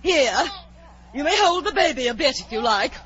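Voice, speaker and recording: A bossy, snappish old Duchess in a vintage radio play. Her voice is high and swoops widely with every dismissive remark. The recording is muffled and old. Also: animated, moderate pace, fairly bright tone. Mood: impatient. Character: brash, bossy, ill-tempered older Duchess, a storybook aristocrat. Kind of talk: 1940s radio drama acting.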